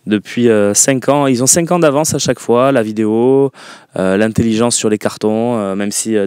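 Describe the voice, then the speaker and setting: An opinionated man hosts a football call-in show, talking emphatically and leaning on fillers as he argues his points. His voice sits at a middle pitch and sounds close and dry. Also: smooth, dry recording. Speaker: radio call-in show host. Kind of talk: casual football phone-in talk.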